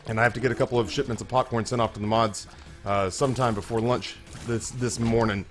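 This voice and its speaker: Young man, slightly hoarse, rambling self-mocking game commentary, frequent restarts, middle-pitched voice, faint music underneath. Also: steady tone, quick pace. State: a little hoarse. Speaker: gaming channel host. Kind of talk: casual let's-play commentary.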